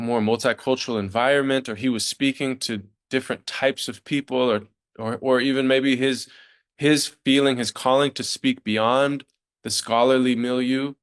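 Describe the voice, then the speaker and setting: A man interviewing a guest, putting thoughtful questions in a tentative, wordy way. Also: mid-range voice.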